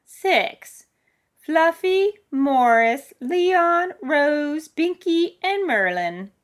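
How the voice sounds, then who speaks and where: A woman's voice: sits high, animated, very slow, voice pushed up, full character voice. A woman voicing a stubborn, sarcastic elderly woman with a snappy, dismissive manner in a story reading. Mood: grudging. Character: stubborn, feisty, sarcastic elderly woman, tiny cat lover. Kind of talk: audiobook character voice.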